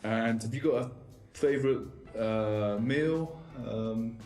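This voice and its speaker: A man's voice: mid-range voice, slow, darkish tone. A man interviewing a guest, talking in a loose, rambling way with many fillers. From England. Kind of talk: casual interview chat. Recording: echoey room with music behind.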